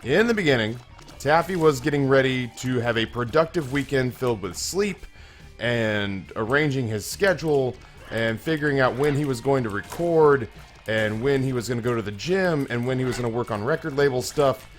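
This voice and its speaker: A slightly hoarse young man hosting a gaming channel, telling a long, self-mocking story with many restarts while he plays. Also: mid-range voice, animated, moderate pace, faint music behind. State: a little hoarse. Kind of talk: casual let's-play commentary.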